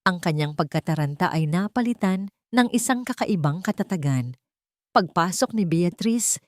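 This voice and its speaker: A woman's voice: medium pitch, darkish tone, no echo, clean studio sound. A woman reading a scripted story as narrator in a dramatic style.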